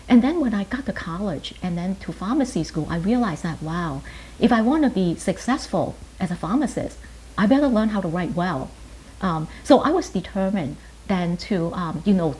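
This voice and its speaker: Earnest, halting middle-aged woman, native Chinese speaker. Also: mid-range voice, warm tone, low-quality recording. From Hong Kong, later New York. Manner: halting, earnest, with pauses between short phrases. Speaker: pharmacist and writer being interviewed. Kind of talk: reflective personal interview answers. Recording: hissy background noise.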